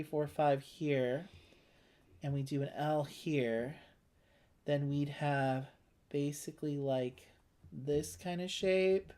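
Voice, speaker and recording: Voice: mid-range voice, mellow. A person chatting casually over a board game, talking through options and thinking aloud as co-host. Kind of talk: casual chat while playing a board game.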